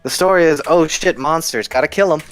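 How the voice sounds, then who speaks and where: A man with a nasal, deadpan voice, chatting casually while watching a game stream. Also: a little high, brisk, soft background music. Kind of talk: casual gaming chat.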